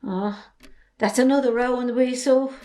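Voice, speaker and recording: A woman's voice: a little high, fairly even intonation, moderate pace, warm tone, close to an everyday voice. A young woman with an Irish accent playing a forthright, defiant daughter in an amateur drama. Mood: surprised, questioning. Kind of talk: amateur stage drama acting.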